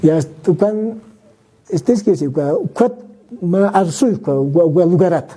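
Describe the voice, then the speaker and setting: Man, very dark tone, husky, conversational explaining, frequent restarts. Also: high voice, animated, takes it slowly. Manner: thinking aloud, many restarts and "¿no?" tags. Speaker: guest speaker. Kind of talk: conversational explanation, mixing Spanish and Aymara.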